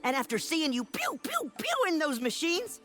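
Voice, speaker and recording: A woman playing a feisty, wise old village elder and grandmother in an animated video game, blunt and colorful in her talk. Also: sits high, moderate pace, exaggerated voice. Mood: warm, proud. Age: older. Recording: music behind the voice.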